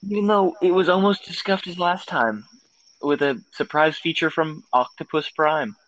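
A man's voice: a little high, steady tone, normal speed, a bit bright. A young man in casual, teasing banter with friends as a podcast co-host on an online call. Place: remote voice call. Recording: dull lo-fi online call audio.

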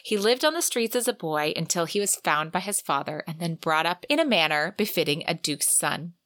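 Bright voiced lady podcaster talking books, really swoopy delivery, dry close recording, chatty but sometimes reading. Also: lowish voice, animated, brisk, no echo. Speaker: podcast co-host. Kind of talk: casual book discussion, part read synopsis.

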